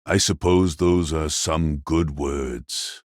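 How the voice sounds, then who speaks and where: Man acting a very deep, courteous condescending warrior. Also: steady tone, slow, no room sound, studio quality. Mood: cool politeness. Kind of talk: fantasy audio drama acting.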